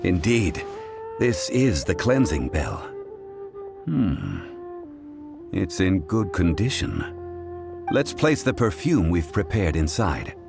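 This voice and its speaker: A calm, courteous gentleman scholar in a video game. His deep voice moves slowly and formally, with expressive intonation, over background music. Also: creaky, exaggerated voice. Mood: composed. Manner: measured, formal, explanatory.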